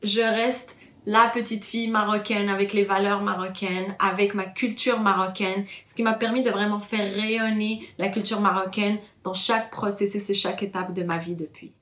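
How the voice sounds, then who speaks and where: A woman's voice: mid-range voice, fairly even intonation, moderate pace, fairly bright tone, echoey room. A woman in her thirties, originally from Morocco, giving a personal testimony in an earnest way with many hesitations and fillers. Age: 30s. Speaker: interviewee.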